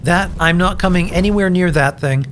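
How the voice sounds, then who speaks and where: A man's voice: sits high, moderate pace, natural voice. A scheming captive played by a man in a comic audio drama. His voice sits a little above average, wry and urgent. Light music plays underneath. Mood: reluctant, afraid. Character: wry scheming captive forced to play Cody the Cow. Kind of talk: fiction podcast acting.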